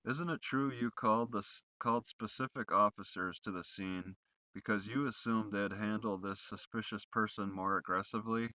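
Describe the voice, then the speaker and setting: A man's voice: fairly low pitch, fairly even intonation, normal speed, bright, forceful tone, no echo. A man putting accusatory, leading questions in the style of a rehearsed cross-examination.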